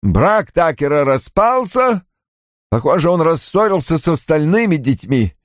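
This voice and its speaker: A man's voice: on the high side, very animated, unhurried, dry recording, clean studio sound, voice pushed up. A man voicing a bitter, brusque older father in an audiobook, irritable and defensive, with cold, emphatic delivery. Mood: agitated. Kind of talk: audiobook character acting.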